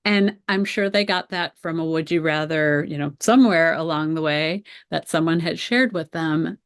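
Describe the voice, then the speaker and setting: A woman's voice: on the low side, dry recording, clean studio sound. A middle-aged American woman teacher presenting an educational webinar in a conversational, explanatory way, speaking directly to her viewers.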